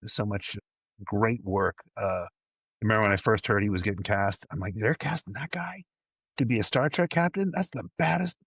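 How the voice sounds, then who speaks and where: A man's voice: on the low side, normal speed, a bit bright, breathy edge, no echo. A man hosting a casual fan discussion, effusive and emphatic, often repeating words for emphasis. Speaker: podcast host.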